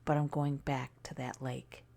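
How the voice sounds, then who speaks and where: A woman narrating a scary story in a soft, breathy ASMR style, often near a whisper, hushed and deliberate. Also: extremely low pitch, flat delivery.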